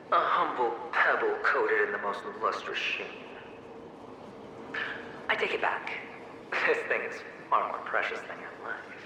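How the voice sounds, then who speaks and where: A taunting echoing inner double. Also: male voice, medium pitch. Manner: taunting, shifts into hissed whispers. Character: mocking inner double of a young man, sly and taunting. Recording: heavy echo, distant, game ambience noise.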